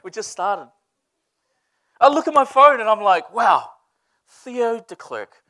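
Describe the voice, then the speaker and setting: A man from Samoa, preaching in a relaxed storytelling way, joking and laughing with his congregation. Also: sits high, slow. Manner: joking, animated, laughs with the room. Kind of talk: casual storytelling sermon.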